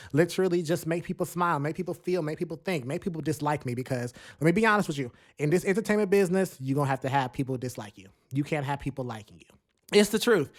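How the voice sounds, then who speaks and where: A man in his twenties hosting his own podcast, chatting casually and rambling with frequent laughs and self-deprecating asides. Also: fairly high pitch. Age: 20s. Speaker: podcast host. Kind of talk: casual solo podcast chat. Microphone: studio mic recording into a computer.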